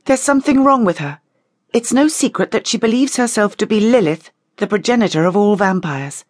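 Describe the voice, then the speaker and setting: A woman's voice: mid-range voice, animated, moderate pace, no room sound, studio quality, pitched above the performer's own voice, close to an everyday voice. A woman voicing a bitter, restless female vampire in an audiobook, speaking with emphatic indignation. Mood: accusing. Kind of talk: audiobook character dialogue.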